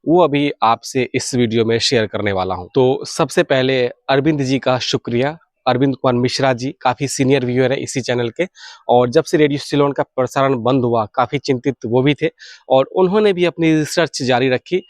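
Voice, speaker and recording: A man's voice: mid-range voice, moderate pace, no echo. Indian man hosting, very dry close sound. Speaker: video channel host sharing radio hobby news. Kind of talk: informal news update to viewers.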